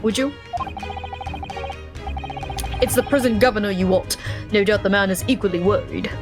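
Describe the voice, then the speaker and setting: A woman's voice: medium pitch, fast, music in the background, pitched below the performer's own voice, natural voice. Woman putting on a haughty, vain detective. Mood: dismissive. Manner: formal, lofty, dismissive.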